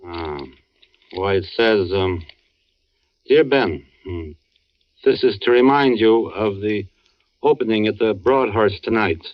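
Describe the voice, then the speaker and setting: An actor playing an earnest, somber man, a knowing family friend, with measured dramatic delivery in 1940s radio style. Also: on the low side. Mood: gentle, hesitant. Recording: muffled old radio recording.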